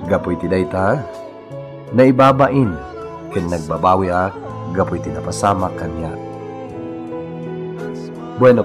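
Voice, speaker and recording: Slow, low-voiced male radio drama host. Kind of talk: scripted radio introduction, reading a listener's letter. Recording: old AM radio with loud music.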